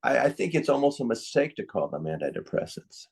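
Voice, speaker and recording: A man's voice: medium pitch, very animated, brisk, creaky, husky. An older man speaking as a psychiatrist in an interview, giving his opinions in a reflective, conversational way.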